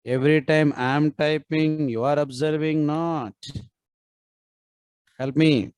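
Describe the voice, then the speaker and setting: A man leads an online programming class, talking students through each step and urging them to join in. He speaks slowly at a middle pitch, and the sound is close and dry, with no room echo. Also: steady tone, a little mellow, clear, resonant tone. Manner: coaxing, repeats prompts to students. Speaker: programming instructor. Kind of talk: live online coding class.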